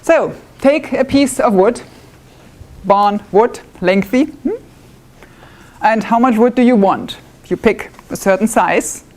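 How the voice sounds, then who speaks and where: A woman's voice: on the high side, slow pace, long pauses, a little mellow. A woman from northwest Germany with a German accent, giving a chatty, self-deprecating talk to a woodworking club audience. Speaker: club presenter. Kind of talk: live demonstration talk. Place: club meeting room with live audience.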